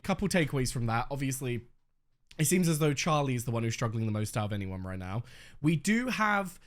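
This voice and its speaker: A young man hosting a reaction video in casual, candid chat, slightly hoarse as he is losing his voice. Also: mid-range voice, very animated, fairly quick, faint music behind. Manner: chatty, candid, swears freely.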